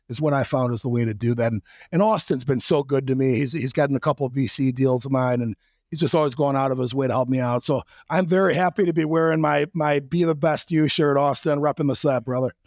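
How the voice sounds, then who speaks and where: A man with a raspy voice after a trip, hosting a podcast and talking bluntly and casually, with some swearing and joking. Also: medium pitch, a little mellow, no echo, clean studio sound. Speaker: podcast host. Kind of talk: casual interview and monologue intro.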